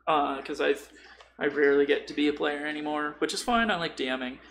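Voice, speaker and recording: A man's voice: on the high side, a little fast, vocal fry, fairly bright tone, a little hiss or hum. A man chatting casually about tabletop role-playing in an informal, rambling way full of tangents.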